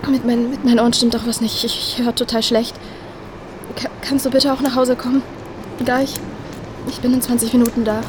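A young adult woman playing a distressed, stubborn young woman in a naturalistic German audio drama, speaking in a blunt, halting, colloquial way. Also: fairly high pitch, little pitch movement, noisy background, natural voice. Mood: desperate.

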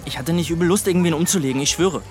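A man's voice: fairly high pitch, racing, soft background music, close to an everyday voice. An actor playing a brash, crude, swaggering teenage boy in a radio drama, with slangy, teasing speech. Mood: boastful. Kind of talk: colloquial radio drama dialogue.

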